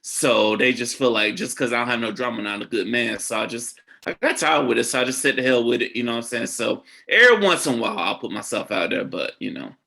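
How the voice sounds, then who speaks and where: A man in his early thirties talks in a casual podcast chat. His voice is bright, and he keeps checking in with "you know what I'm saying" as he tells his dating stories. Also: mid-range voice, animated, fast, creaky, hardly any pauses. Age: 30s. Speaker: podcast guest.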